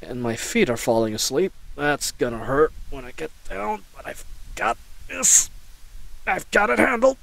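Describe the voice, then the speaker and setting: A man acts out a nervous, chattering knight in an audio drama. His voice sits a little high and leaps around in pitch. He rambles and stammers at an unhurried overall pace, flustered and wordy. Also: expressive, creaky, a bit bright, exaggerated voice, rough recording. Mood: flustered muttering. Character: babbling, flustered young male knight caught in a snare. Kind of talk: scripted solo audio roleplay acting.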